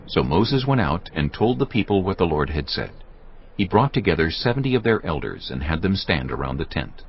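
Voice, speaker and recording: A man narrating scripture from a script in a formal, measured storytelling manner. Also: low-pitched, very animated, slightly rough, dull audio. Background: music.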